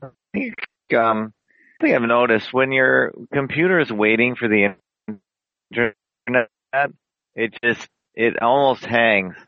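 Man, co-host, relaxed chat, dry lo-fi call audio. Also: medium pitch, a little slow, no room sound. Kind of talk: casual online chat. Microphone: video call.

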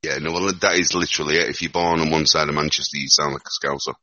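A man with a Northern English accent and an extremely deep voice that still comes across forceful and bright. He talks in a loose, rambling way, restarting his phrases. The sound is dry and muffled, like a lo-fi recording. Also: fairly even intonation, fast talker, no echo. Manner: rambling, repeats words as he thinks aloud. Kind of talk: casual discussion.

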